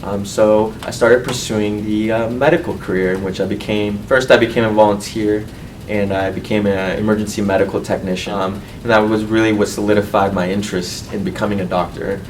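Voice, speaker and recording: Male student, twenties, earnest and halting testimonial, fairly low voice, off-mic sound with faint noise. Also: little pitch movement, distant mic. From Colombia, raised in California. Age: 20s. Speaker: medical student and university board representative. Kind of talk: personal testimonial to camera.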